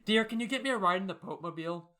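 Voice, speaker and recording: A man's voice: sits high, racing, pushed and bright. A man hosting a podcast, chatting casually and reading out listener mail in a wry, jokey way. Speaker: podcast host.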